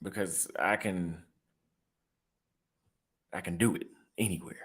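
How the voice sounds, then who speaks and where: A man's voice: low-pitched, fairly even intonation, takes it slowly, a bit bright. A young man with a Texas accent, chatting casually in a vlog and reacting aloud as he browses apartment listings, repeating his phrases. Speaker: vlogger and barber.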